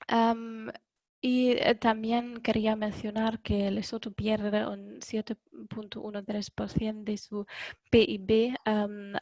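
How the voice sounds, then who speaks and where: A woman giving a webinar presentation in Spanish, which is not her first language, pausing often with fillers and correcting herself. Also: medium pitch, gravelly, a little mellow, dry recording. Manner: hesitant, many ums and self-corrections.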